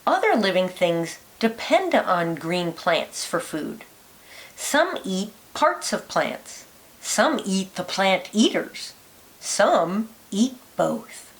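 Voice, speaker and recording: A woman reading a children's nonfiction picture book aloud like a teacher, with deliberate, lilting emphasis. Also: medium pitch.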